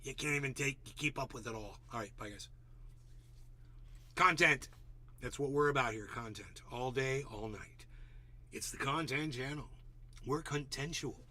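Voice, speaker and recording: Man with a bright voice, mumbling low. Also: moderate pace, pauses often. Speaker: streamer host. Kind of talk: casual livestream sign-off chat.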